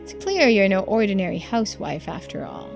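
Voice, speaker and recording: A woman's voice: medium pitch, gravelly. Woman acting out a blunt, greedy older schemer. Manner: dismissive, blunt, folksy speech. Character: gruff, greedy older collector obsessed with immortality. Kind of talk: video game dialogue read aloud.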